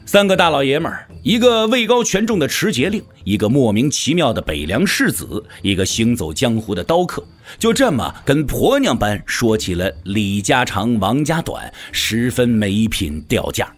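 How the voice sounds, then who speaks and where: Husky, highly dynamic male narrator. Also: big pitch swings, normal speed, few pauses. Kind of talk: dramatic audiobook narration of wuxia fiction.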